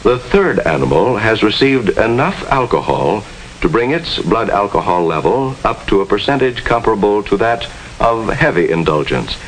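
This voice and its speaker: A man's voice: lowish voice, sing-song, moderate pace, rough recording. An American man giving formal, instructive narration with precise diction in the style of a 1950s educational film. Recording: hissy 1950s film soundtrack.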